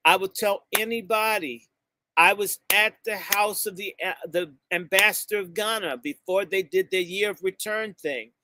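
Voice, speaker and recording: A man's voice: high voice, moderate pace, noisy background. A man from the United States giving an impassioned, blunt speech to a live audience, stretching out words for emphasis and jumping from topic to topic. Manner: fiery and emphatic, drawing out key words; blunt and insulting, jumps between topics. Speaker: speaker addressing a gathering.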